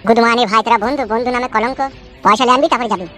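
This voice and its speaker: A man's voice: very high voice, fairly even intonation, rapid-fire, sharp tone, soft background music. A man voicing a spiteful, bullying young village landlord in a cartoon comedy, coarse and demanding. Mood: threatening.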